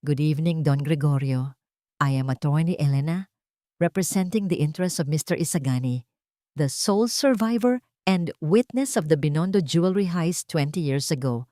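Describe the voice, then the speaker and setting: A woman's voice: sits low, normal speed, dark tone, dry recording, clean studio sound, lightly acted. A woman playing a composed female attorney who speaks formally and coldly in an audio drama. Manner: formal, cold.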